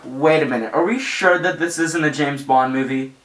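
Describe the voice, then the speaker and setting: A man's voice: fairly high pitch, normal speed, very noisy. A man narrating an opinionated comedic review in a sarcastic, ranting, sweary manner. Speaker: video reviewer and host. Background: background music.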